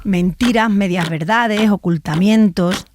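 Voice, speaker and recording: A woman's voice: mid-range voice, unhurried, noisy background. A woman narrating a scripted true crime documentary as a podcast host.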